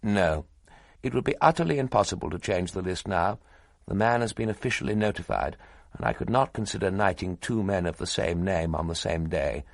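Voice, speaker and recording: A man's voice: sits low, lively intonation, a little fast, vocal fry, no room sound, studio quality. A man voicing a curt, decisive older politician who speaks in a clipped, final way. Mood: firm.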